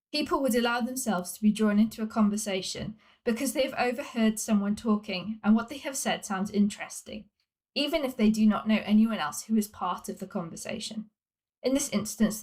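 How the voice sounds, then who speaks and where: A woman from Wales reads from a scripted non-fiction book as its author. Her voice sits at a medium pitch, and her delivery is measured and explanatory. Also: a little mellow. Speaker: author reading own book. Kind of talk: scripted non-fiction audiobook-style reading.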